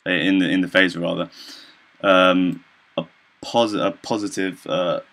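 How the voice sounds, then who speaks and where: Low-voiced young man, hesitant presenter. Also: steady tone, fairly bright tone. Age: young adult. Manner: hesitant, halting, loosely explanatory. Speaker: student presenter. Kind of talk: informal software demonstration.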